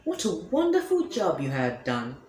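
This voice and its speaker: A woman's voice: lowish voice, wildly swooping pitch, moderate pace, darkish tone, reverberant, a little hiss or hum, pitched below the performer's own voice. Woman doing a kindly old lighthouse keeper. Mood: warm.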